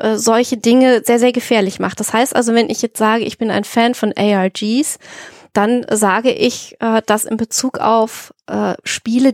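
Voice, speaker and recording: A woman's voice: mid-range voice, no room sound, studio quality. A woman co-hosting a podcast, explaining things carefully in a conversational discussion. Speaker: podcast co-host.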